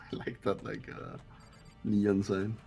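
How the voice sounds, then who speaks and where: A man chatting casually and haltingly as a player in a game playthrough. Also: fairly low pitch, completely flat delivery, creaky, darkish tone, faint music behind. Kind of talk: casual chat.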